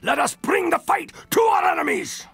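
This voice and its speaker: A man voicing a gruff, impatient male dwarf war leader in a fantasy game, blustering in a high, swooping voice with game music behind. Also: moderate pace, no room sound, exaggerated voice. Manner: blustering, shouting battle cries.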